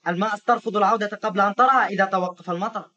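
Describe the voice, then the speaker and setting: A woman's voice: medium pitch, fairly even intonation, racing, a bit bright, highs cut off, lightly acted. A woman dubbing a cheeky, teasing young goatherd boy in a cartoon. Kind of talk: Arabic cartoon dub.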